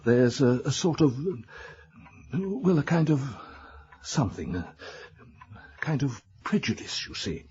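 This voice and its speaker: Fussy, swooping British letting agent. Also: male voice, medium pitch, sing-song, slow, pauses often, darkish tone. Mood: evasive, uneasy. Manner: hesitant, rambling, obliging. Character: fussy, evasive male small-town letting agent, eager to please. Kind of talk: radio drama acting. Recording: dull lo-fi radio drama recording.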